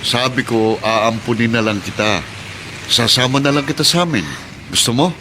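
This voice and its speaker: A man playing a gruff but kindhearted taxi driver in a radio drama, talking in a casual, blunt, teasing way. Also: mid-range voice, moderate pace, close to an everyday voice. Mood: kindly. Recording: clear vehicle noise behind.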